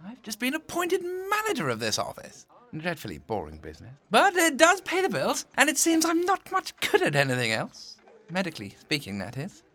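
Man acting a bright, blustery old chum. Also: sits high, huge pitch swings, normal speed, full character voice. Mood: chatty, jovial. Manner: exuberant, blustery, self-deprecating. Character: boisterous, jovial male old school chum, office manager. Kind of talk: audio drama acting.

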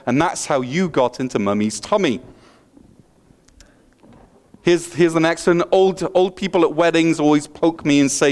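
Welsh pastor with a light stutter. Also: male voice, fairly high pitch. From Wales. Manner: self-deprecating, joking, slight stutter with restarts. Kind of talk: sermon.

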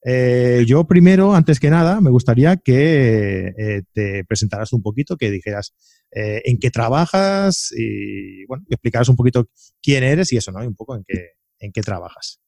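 A man hosts a podcast in a mid-pitched voice, joking and laughing between hesitant fillers. The recording is dry and close. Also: expressive, moderate pace, gravelly, mellow, dry recording. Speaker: podcast host. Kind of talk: casual interview chat.

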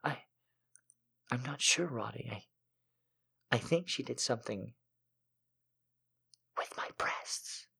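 A man voicing a lovely young woman in a fantasy story, a clingy, fearful damsel who speaks softly and coyly, with hesitation. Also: mid-range voice, slow pace, vocal fry, long pauses, husky, whispered, exaggerated voice. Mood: frightened, coy. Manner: coy, soft-spoken, hesitant. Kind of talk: dramatic reading of a fantasy story.

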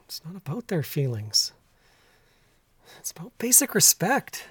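A man playing a gruff but decent middle-aged farmer in an audio drama, firm, plain-spoken and folksy. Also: high voice, big pitch swings, a little slow, a bit bright, no room sound, exaggerated voice. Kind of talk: naturalistic audio drama acting.

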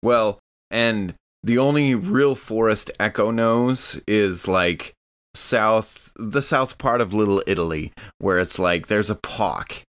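A man's voice: on the low side, takes it slowly, a bit bright, smooth. A man casually narrating his character's actions in a tabletop game, in a loose, rambling way.

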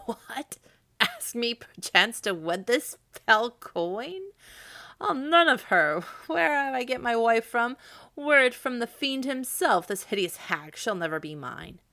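A woman doing a loud jeering knight. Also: deep voice, normal speed, creaky, hardly any pauses. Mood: scornful. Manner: mocking, loud. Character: rude jeering male steward knight.

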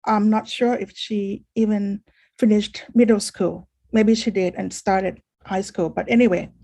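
A middle-aged woman from Thailand sharing a personal testimony at a support meeting in a halting, reflective way. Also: mid-range voice, steady tone, dark tone, husky.

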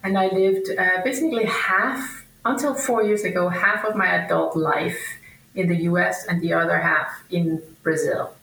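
A woman in her fifties from Denmark, a native Danish speaker, answers interview questions conversationally, thinking aloud in a halting, hesitant way. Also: fairly low pitch, creaky, a bit bright. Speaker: podcast guest, researcher and academic. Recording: roomy echo, distant from microphone.

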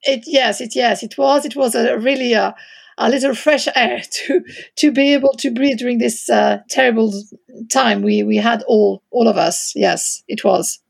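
A woman's voice: a little high, fairly even intonation, normal speed, creaky, husky. A middle-aged woman from France with a French accent, answering interview questions hesitantly and searching for English words. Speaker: interior designer guest.

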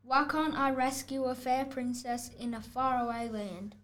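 A schoolboy of about eleven voicing a vain, indignant storybook wolf who protests and cuts in, in a scripted audiobook style. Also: male voice, very high voice, steady tone, a little slow, a bit bright. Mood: exasperated. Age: child, about 11 to 12. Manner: protesting, exclamatory, interrupting the narrator. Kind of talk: scripted picture-book audiobook acting.

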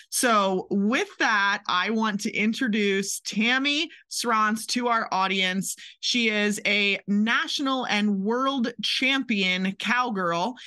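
A woman's voice: medium pitch, pushed and bright. A woman from Maryland hosting a podcast interview, chatty and enthusiastic. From Maryland, USA. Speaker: podcast host.

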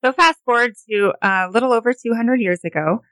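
A woman with a Montana accent, a guest homeopath, explaining in a steady way with a few fillers. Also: a little high, fairly quick, no echo, rough recording. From Montana, USA. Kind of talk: explanatory interview answers.

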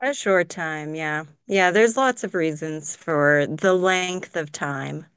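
Woman on a podcast, talks real slow, lowish smooth voice, dry sound. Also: fairly bright tone, dry recording. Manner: slow, dry, laughs often. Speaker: podcast guest. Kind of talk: casual chat.

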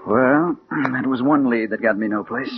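An actor playing a hard-boiled American detective, narrating and acting with dramatic intensity in a 1940s radio crime drama. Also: male voice, medium pitch, moderate pace, loud music behind the voice, natural voice. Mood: terse. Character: hard-boiled male private detective bent on revenge. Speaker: narrator and lead character. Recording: muffled old radio recording with hiss.

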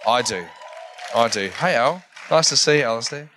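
A man's voice: medium pitch, lively intonation, normal speed, a bit bright, some chatter behind, slight background noise. A man hosting an event, introducing a speaker in a loose, rambling way. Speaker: event host.

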